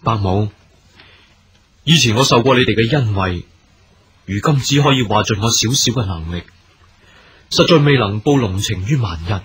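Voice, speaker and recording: Courteous, husky imperial commander. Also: male voice, fairly low pitch, animated, moderate pace, pauses often, fairly bright tone, exaggerated voice. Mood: courteous, earnest. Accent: Hong Kong Cantonese. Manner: formal, stately, gracious. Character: courteous, earnest imperial military commander, loyal husband. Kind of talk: Cantonese period radio drama acting.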